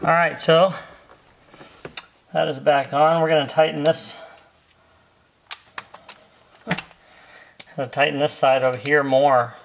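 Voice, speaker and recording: A man's voice: fairly high pitch, fairly even intonation, slow, vocal fry, pauses often. A middle-aged American man giving a casual, easygoing hands-on tutorial, talking as he works inside a parked car. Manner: easygoing, talking while working with his hands. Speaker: host of a car accessory install video. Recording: faint background noise and clicks.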